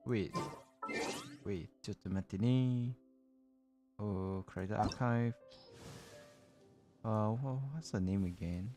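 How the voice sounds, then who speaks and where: A man chatting casually as he hosts a game livestream, halting and repeating himself, with loose non-native English phrasing. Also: fairly low pitch, over music. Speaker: livestreamer hosting a game stream.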